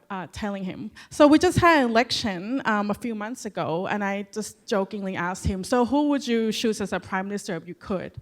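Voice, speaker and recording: A woman from Thailand on a conference panel, telling a personal story in an earnest, conversational way with personal asides. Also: mid-range voice.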